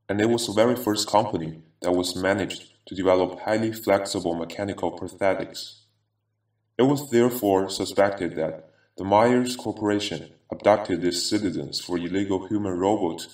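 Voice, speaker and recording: A man voicing a vengeful half-machine former attorney in a grave, deliberate, ominous horror game monologue. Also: low-pitched, a little mellow. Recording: echoey, distant-sounding voice.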